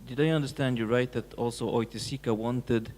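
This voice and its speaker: A man's voice: medium pitch, steady tone, fairly quick, darkish tone. A man in the audience pressing a speaker with a follow-up question, restarting his sentences as he goes. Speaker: audience member asking a question. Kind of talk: live public Q&A. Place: large lecture hall. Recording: reverberant hall.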